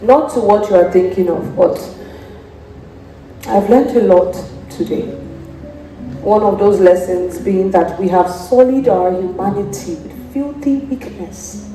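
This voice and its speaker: Stage drama: young actress as solemn, declamatory proud lady, soft dark timbre, echo and faint music. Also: mid-range voice, measured pace, lightly acted. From Nigeria. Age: young adult. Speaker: student stage actor. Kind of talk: theatrical stage acting. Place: theatre stage. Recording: echoey stage, far mic, faint music.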